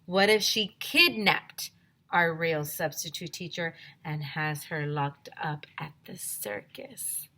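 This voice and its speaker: A woman voicing a sharp-tongued schoolgirl who speaks with dramatic, conspiratorial suggestion in a storybook. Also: low voice, slow pace, full character voice.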